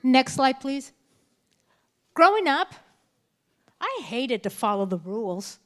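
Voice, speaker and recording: Brief: woman, 59, native Spanish speaker, California-raised, frank motivational keynote, lively and direct, slightly high voice. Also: takes it slowly. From California, United States. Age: 50s. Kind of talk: candid motivational speech. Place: school assembly stage.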